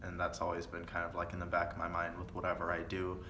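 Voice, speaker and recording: A young man, a second-year college student, answering interview questions thoughtfully with many pauses and fillers. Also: deep voice, completely flat delivery. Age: young adult. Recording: echoey room with faint noise.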